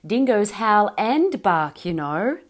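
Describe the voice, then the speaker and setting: A woman's voice: medium pitch, big pitch swings, takes it slowly, pitched above the performer's own voice. A woman voicing a proud young male lyrebird in a storybook reading, who talks theatrically and mimics bird and animal calls.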